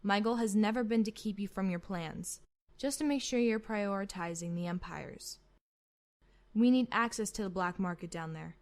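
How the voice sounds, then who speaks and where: A woman playing a formal, measured, calculating admiral of an evil empire in a fan-made sci-fi roleplay. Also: mid-range voice, natural voice. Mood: cool, calculating. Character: coolly calculating female Imperial admiral.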